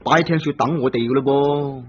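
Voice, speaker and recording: An actor playing the husband's nervous cousin in a vintage radio drama, speaking in a hesitant, anxious way. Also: male voice, mid-range voice, fairly even intonation, brisk, close to an everyday voice. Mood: coaxing. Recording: dull lo-fi old radio recording with faint hiss.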